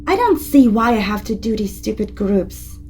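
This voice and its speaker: A woman's voice: medium pitch, very animated, normal speed, warm tone, a little hiss or hum, natural voice. A woman playing a sulky teenage daughter with a murderous streak in a horror dramatic reading, casual and petulant.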